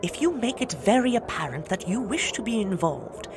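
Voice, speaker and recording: A woman's voice: medium pitch, big pitch swings, moderate pace, background noise. An actress playing a regal, doting and shrewd mother queen of the gods in an audio drama, speaking with formal, coaxing poise. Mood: tender, coaxing.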